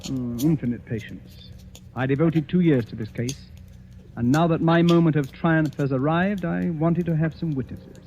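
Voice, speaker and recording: An actor playing a self-satisfied, theatrical master detective, pompous and deliberate, in old-time radio horror style. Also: male voice, on the high side, darkish tone, a little hiss or hum, close to an everyday voice. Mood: smug, commanding. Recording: muffled vintage radio recording, faint music.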